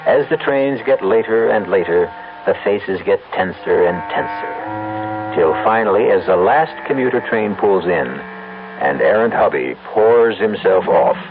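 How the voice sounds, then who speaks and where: A man with an American accent hosting and narrating a radio mystery in a measured, wry, theatrical way. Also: low voice, narrow, tinny audio, noisy background. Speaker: host and narrator. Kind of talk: dramatic scripted radio narration. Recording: band-limited old radio, music behind.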